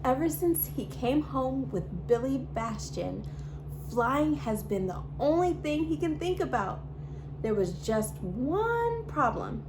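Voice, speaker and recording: Bright woman reading a children's story. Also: sits high, takes it slowly. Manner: bright, expressive read-aloud delivery. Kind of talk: reading a picture book aloud to kids. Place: home library. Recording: steady background hum.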